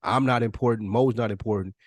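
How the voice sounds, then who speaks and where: A man's voice: mid-range voice, steady tone, brisk, no echo. An American man co-hosting a casual podcast, talking earnestly and repeating phrases for emphasis. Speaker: podcast co-host.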